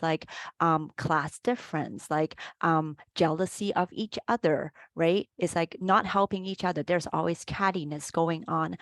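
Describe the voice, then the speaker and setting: Woman from hong kong, life coach host, chatty and rambly, kinda low voice. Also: dry recording. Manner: chatty, many fillers and restarts. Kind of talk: casual conversational interview. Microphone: video call.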